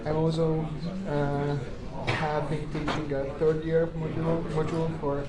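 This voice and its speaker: A man's voice: on the high side, completely flat delivery, measured pace. A young man, a university tutor, speaking hesitantly with many fillers and repeated yeahs as he chats and then explains a problem to his class. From Hungary. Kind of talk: casual chat, then tutorial teaching. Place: echoey classroom. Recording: roomy classroom with crowd chatter.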